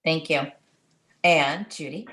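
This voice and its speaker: A woman's voice: sits low, takes it slowly, fairly bright tone. A woman moderating a panel, thanking and prompting the speakers in an appreciative manner. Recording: echoey room with background noise.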